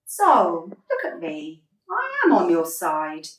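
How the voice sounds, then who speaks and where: A woman's voice: on the low side, huge pitch swings, slow, full character voice. A woman playing an exasperated, earnest football manager in a radio comedy, patient and articulate with a dry sarcastic edge. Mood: earnest, encouraging. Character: earnest, exasperated female football manager coaching wayward players. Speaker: actor in a radio play. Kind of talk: radio comedy drama acting. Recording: roomy echo, distant from mic.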